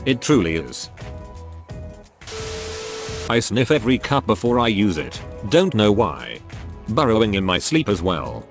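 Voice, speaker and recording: A male narrator with a fairly low voice works through a list of online posts, reading them out one after another. He spells out abbreviations and even punctuation marks literally, in an even reading manner. Light background music runs quietly beneath his voice. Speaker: narrator of a Reddit reading channel.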